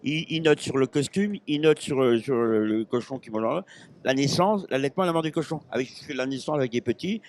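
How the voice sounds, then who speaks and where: A man's voice: medium pitch, fast, darkish tone. Man, 50s, from Normandy; hesitant, rambling guest, handheld mic. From Normandy, France. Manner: rambling, hesitant, full of restarts and fillers. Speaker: interview guest, pig-call champion. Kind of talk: casual chat. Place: busy agricultural fair stand.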